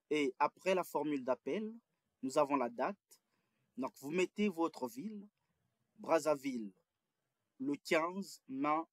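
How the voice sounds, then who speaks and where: A man's voice: mid-range voice, no room sound. A man giving a step-by-step lesson on writing an internship application, restating his points carefully. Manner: methodical, repeats and restates points. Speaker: instructor. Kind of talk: tutorial on writing job applications.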